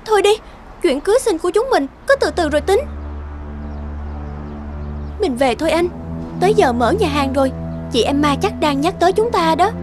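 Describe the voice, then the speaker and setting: High-pitched, anxious young cartoon woman. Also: animated, moderate pace, long pauses. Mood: apologetic, reluctant. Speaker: voice actor in an animated moral tale. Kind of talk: dubbed cartoon dialogue. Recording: music behind the voice.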